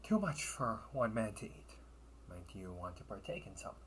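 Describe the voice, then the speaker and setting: A man's voice: lowish voice, sing-song, a bit bright, slight background noise. A man playing a sly, wealthy older brother in a sci-fi audio roleplay, with theatrical, formal, teasing delivery. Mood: playful hospitality. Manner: theatrical, formal wording with teasing chuckles and drawn-out pauses. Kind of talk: solo audio roleplay addressed to the listener.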